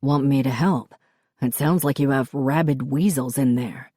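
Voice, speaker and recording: An easygoing, kindly man played by a woman narrator, pitched low. He speaks in a casual, wry and teasing way, unhurried, as a character in an audiobook. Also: warm tone, no room sound, studio quality. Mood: helpful, teasing.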